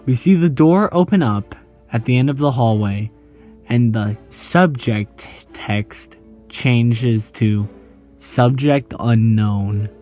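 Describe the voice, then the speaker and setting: A man talks through horror videos at an unhurried pace in an easygoing, joking tone, reading out on-screen captions as he goes. His voice sits at an ordinary pitch, and faint music plays underneath. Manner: relaxed, jokey, reads on-screen text aloud. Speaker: YouTube host. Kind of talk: casual video commentary.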